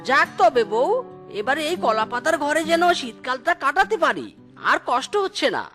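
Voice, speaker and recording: A woman's voice: high voice, animated, normal speed, fairly bright tone, faint music behind. A woman voicing a weary, worried and pleading poor beggar in a children's cartoon. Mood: relieved.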